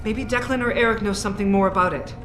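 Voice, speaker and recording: A woman's voice: medium pitch, quick pace, a bit bright, lightly acted. Earnest actress as a woman scientist, echoey. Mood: helpful, matter-of-fact. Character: earnest, defensive female research scientist. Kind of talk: video game voice acting. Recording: echoey room, music underneath.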